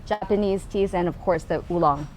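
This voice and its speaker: A woman's voice: on the low side, normal speed, a little mellow. A woman in a casual conversation at a tasting, explaining earnestly with many fillers. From United States. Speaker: co-founder of a taste and smell group. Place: tasting room in a tea factory. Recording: faint room noise.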